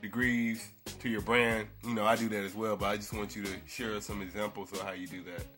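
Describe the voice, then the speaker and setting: A man's voice: fairly low pitch, flat delivery, fairly quick, pushed and bright, faint music behind. A man hosting an interview, asking questions in a casual, loose way. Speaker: interview host.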